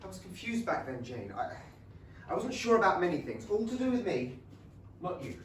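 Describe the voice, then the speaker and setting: A man playing an earnest, awkward young gentleman suitor, sincere and halting, in a staged reading of a period play. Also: high voice, very animated. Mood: earnest, lovestruck. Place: small theatre stage, before an audience. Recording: echoey theatre, distant, loud noise.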